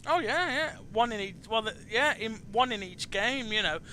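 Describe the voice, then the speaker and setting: A man's voice: high voice, expressive, moderate pace, bright, forceful tone. A man hosting a casual chat about video games, speaking hesitantly and often repeating and restarting his phrases. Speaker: chat show host interviewing a guest.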